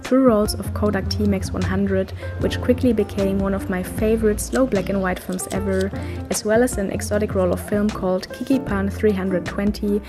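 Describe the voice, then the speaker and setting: A woman's voice: medium pitch, fairly even intonation, moderate pace, over music. A woman in her thirties from Germany, with a German accent, talking in a casual vlog style as a street photographer. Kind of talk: casual vlog talk and narration.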